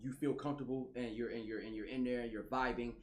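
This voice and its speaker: A man hosting a relaxed interview, talking quickly with a mid-range voice. He keeps chiming in with eager, affirming remarks. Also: mid-range voice, echoey room. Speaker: podcast host. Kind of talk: casual interview chat.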